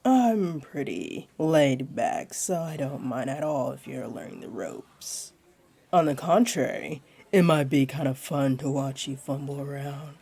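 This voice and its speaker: Husky, slow-talking flirty jaguar woman, acted by a woman. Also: expressive, warm tone, full character voice. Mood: teasing, reassuring. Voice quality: deep, breathy, husky voice. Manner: slow, teasing, playful, soothing. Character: flirty, teasing jaguar beastling woman, laid-back tavern regular. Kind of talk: roleplay audio acting, addressed to one listener.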